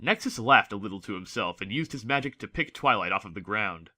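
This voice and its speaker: A man's voice: on the low side, animated, fairly quick, pushed and bright. A man reading scripted story narration as the narrator of a dramatic audiobook.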